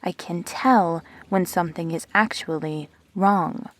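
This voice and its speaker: A woman playing a perceptive, teasing, supportive friend in an intimate sci-fi audio drama, expressive and blunt. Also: on the low side, a little slow, dry recording. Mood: hurt, indignant.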